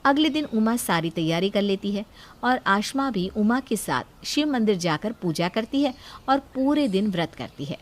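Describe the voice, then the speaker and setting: A woman's voice: mid-range voice, expressive, normal speed. A woman storyteller reading a scripted moral story as narrator.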